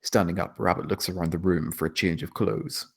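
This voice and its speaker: A man's voice: low-pitched, fairly even intonation, a little fast, creaky, breathy edge. A man reading scripted stage directions as the narrator of a screenplay table read.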